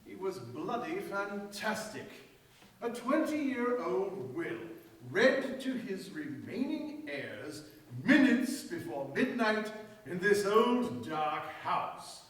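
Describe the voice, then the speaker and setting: A man's voice: sits high, big pitch swings, takes it slowly, full character voice. A man playing a haughty, callous, sardonic heir in a staged mystery radio play, with a snide, dismissive delivery. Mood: irritated. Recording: echoey stage, far from mic.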